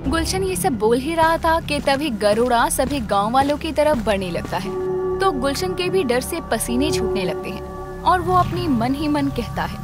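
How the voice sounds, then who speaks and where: Female storyteller: high pitch, dramatic scripted narration, music running behind. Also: expressive, normal speed.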